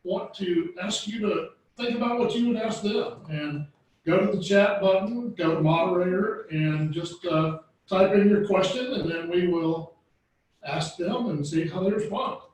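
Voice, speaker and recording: A man's voice: high-pitched, a little slow, a little mellow. A man moderating a church panel, easygoing and inviting. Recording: echoey room, distant mic.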